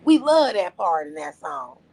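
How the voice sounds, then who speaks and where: A woman's voice: high-pitched, animated, measured pace, vocal fry, a little mellow, poor audio. A woman co-hosting a casual podcast chat, blunt and profane, losing her voice. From Las Vegas area. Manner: blunt, profane, emphatic storytelling. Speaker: podcast co-host.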